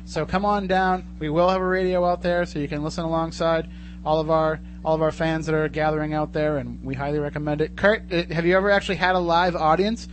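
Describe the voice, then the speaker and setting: A man's voice: fairly high pitch, little pitch movement, quick pace, slight background noise. A man hosting a live radio talk show, chatting and steering the program.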